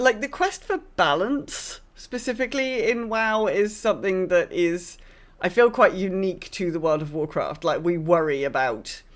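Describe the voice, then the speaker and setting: A woman hosting a podcast, talking casually about gaming with wry, sardonic asides. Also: lowish voice. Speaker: podcast host. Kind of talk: casual discussion of a video game.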